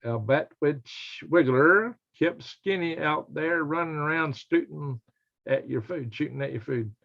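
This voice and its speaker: Older guy hosting a live stream, raspy n creaky, folksy rambling country talk, kinda lo-fi audio. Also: fairly high pitch, measured pace, a bit bright. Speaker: livestream host. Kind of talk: casual live stream chat, reading viewer comments. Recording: dull lo-fi livestream audio.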